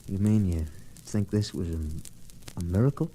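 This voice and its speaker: An actor playing a bewildered, wary, hard-bitten western man in an old-time radio drama, halting and questioning. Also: low voice, animated, warm tone. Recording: slightly noisy old radio recording.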